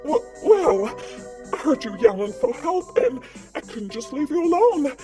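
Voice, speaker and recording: Woman voicing a flustered, high-pitched awkward young ghost. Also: sing-song, measured pace, music in the background. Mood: sheepish, nervous. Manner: flustered, hesitant, apologetic stammering. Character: awkward, friendly, anxious young ghost, lanky and sheepish. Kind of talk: visual novel character acting.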